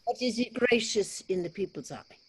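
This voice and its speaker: A woman playing a stately, commanding French king in a staged reading of verse drama, with measured, formal delivery. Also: medium pitch, expressive, slightly rough. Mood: probing. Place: remote video call.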